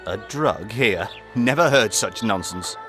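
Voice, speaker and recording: An actor playing a slippery, cowardly merchant in a video game, ingratiating and defensive. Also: male voice, lowish voice, lively intonation, unhurried, music in the background. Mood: smooth, dismissive.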